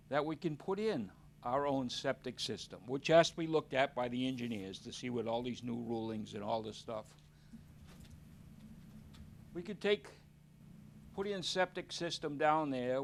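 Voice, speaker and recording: A man's voice: mid-range voice, slight background noise. Blunt plainspoken man at public comment. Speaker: resident speaking at public comment.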